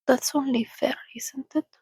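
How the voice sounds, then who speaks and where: Woman softly voicing a hushed, breathy, courtly vampire man. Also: high voice, fairly even intonation, measured pace, no room sound, studio quality, exaggerated voice. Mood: courteous, intent. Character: composed, courteous, commanding male vampire. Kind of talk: soft ASMR storybook reading.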